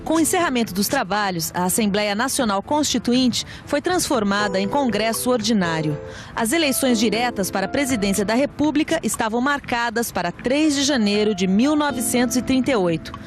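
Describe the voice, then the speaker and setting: A woman's voice: a little high, animated, a little fast, over music. A woman with a Brazilian accent reading a scripted historical documentary narration.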